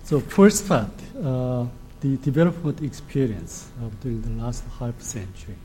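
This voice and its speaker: Soft-spoken Korean man, dark tone. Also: mid-range voice, expressive, slight background noise, poor audio. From South Korea. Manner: halting, with frequent hesitations. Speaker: ambassador giving a presentation. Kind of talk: formal lecture to an audience.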